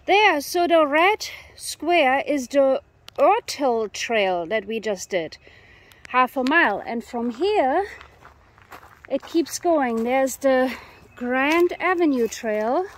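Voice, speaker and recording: Female family hiking vlogger, high voice, leisurely delivery, casual and chatty, recorded outdoors on a handheld camera. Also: animated, slow pace, a little hiss or hum. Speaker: family travel vlogger and mother. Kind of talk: casual narration while hiking. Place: outdoors on a wooded trail. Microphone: handheld camera, held by the speaker.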